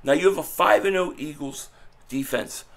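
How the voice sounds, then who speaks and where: Hoarse gravelly man ranting about football. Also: on the high side, moderate pace. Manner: animated, fan talk with frequent restarts. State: losing his voice, hoarse. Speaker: sports vlogger. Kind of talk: casual sports commentary vlog. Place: small room at home.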